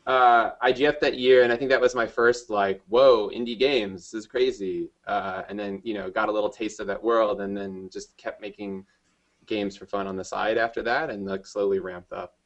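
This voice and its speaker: A man's voice: fairly low pitch, steady tone, normal speed, highs cut off. A man from New Jersey, a game developer guest, talks casually in rambling sentences full of fillers. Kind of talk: casual interview chat.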